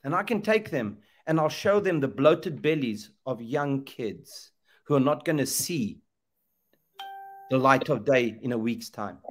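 A man's voice: mid-range voice, expressive, a little slow. A middle-aged man from Zambia, speaking with preacher's emphasis on a video call, repeating phrases and asking rhetorical questions. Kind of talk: impassioned preaching talk. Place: indoor room, video call. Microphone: webcam video call.

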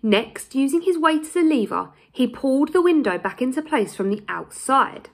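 A young woman reading a children's storybook aloud in an expressive, deliberate way with dramatic emphasis. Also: high-pitched. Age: young adult. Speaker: primary school teacher reading aloud. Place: small indoor room, plain wall.

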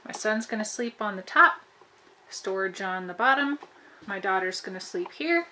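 Bright-voiced woman touring a camper. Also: mid-range voice, lively intonation, normal speed, a little hiss or hum, low-quality recording. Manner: chatty and upbeat, showing things off. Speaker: vlogger. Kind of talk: casual home renovation tour. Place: inside a small camper trailer.

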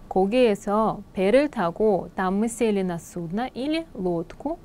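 A young woman leads a Korean exam prep lesson, explaining in Russian. She rattles off each Korean sentence, then slows right down to translate it. Her pitch sits at a middle level for a woman. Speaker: Korean language teacher.

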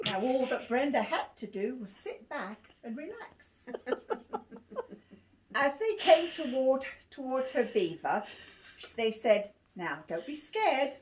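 A woman's voice: sits high, sing-song, slow pace. An 80-year-old woman with an English accent, reading a rhyming picture book aloud and often giggling as she goes. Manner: giggly, often breaking into laughter while reading. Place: small cluttered living room. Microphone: phone camera a few feet away. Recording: faint noise, clicks, home phone video.

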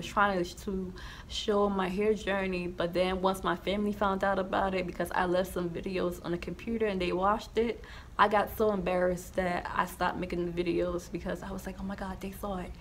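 A young woman with a slight lisp speaks casually to her camera in a small indoor room. Her voice is gravelly with vocal fry, and her talk rambles with frequent ums and restarts. A faint hiss sits under her voice. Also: mid-range voice, level tone, normal speed. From New Jersey, USA. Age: young adult. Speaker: YouTuber sharing her testimony.

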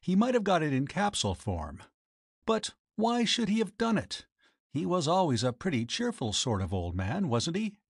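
A man's voice: fairly high pitch, wildly swooping pitch, normal speed, creaky, breathy edge, no room sound, clean studio sound, voice pushed up, full character voice. A man voicing a young doctor in an audiobook story, frank, puzzled and emphatic. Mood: resentful, baffled. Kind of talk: audiobook character voice.

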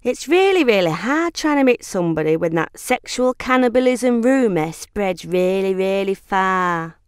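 A man-hungry, past-her-prime lady mantis, voiced by a woman in a comedy monologue. She gossips ruefully with big swoops of pitch, in a dry close recording. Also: expressive, normal speed, clear, resonant tone, no echo, lightly acted. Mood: gossipy, rueful. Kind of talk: comic character monologue.

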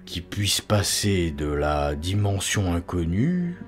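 A man voicing a haunted, awestruck occult writer in an audiobook, speaking in a halting, dramatic way. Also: sits low, very animated, faint music behind, voice pushed down, full character voice. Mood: feverish wondering. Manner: halting, dramatic, hesitant pauses. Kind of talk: dramatic audiobook character reading.

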